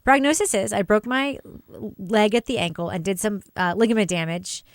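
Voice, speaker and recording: A middle-aged woman with a clear, smooth voice, co-hosting a podcast and telling personal stories in casual chat. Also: medium pitch, normal speed, dry recording, clean studio sound. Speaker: podcast co-host.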